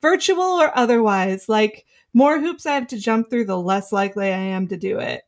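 A woman in a casual podcast chat, relaxed and rambling, who laughs often. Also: medium pitch, moderate pace.

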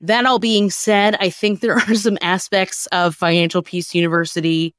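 Dry, close-sounding woman, low-pitched casual host. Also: level tone, normal speed, fairly bright tone, no echo. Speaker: podcast host introducing comedy sketches. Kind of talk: casual personal storytelling.

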